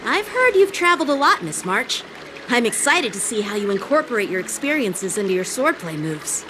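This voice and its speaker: A woman voicing a polite, earnest young sword fighter in an anime-style video game, gently correcting. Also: a little high, sing-song, moderate pace, rough recording. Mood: polite, curious. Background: game music.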